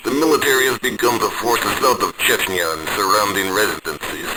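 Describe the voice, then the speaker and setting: A man's voice: fairly low pitch, fairly quick, close to an everyday voice. An actor playing a weary male refugee, narrating his flight from home in a grave, reflective way. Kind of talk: diary-like narration. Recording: loud background noise.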